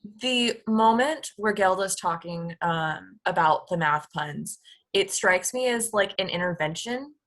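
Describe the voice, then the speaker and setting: A woman's voice: on the low side, expressive, measured pace, a bit bright. A woman offering story ideas in a casual creative discussion.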